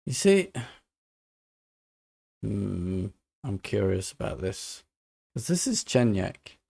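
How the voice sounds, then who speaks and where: Slow-paced man commenting on a game. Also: lowish voice, sing-song, gravelly, a little mellow, breathy edge. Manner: muttering to himself, thinking aloud. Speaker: game streamer. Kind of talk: casual playthrough commentary.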